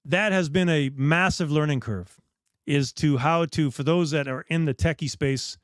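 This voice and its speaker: A man's voice: fairly high pitch, moderate pace, dry recording, studio quality. A middle-aged man from the Bahamas hosting a casual live chat, talking in a rambling, candid way with restarts. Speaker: dive shop host.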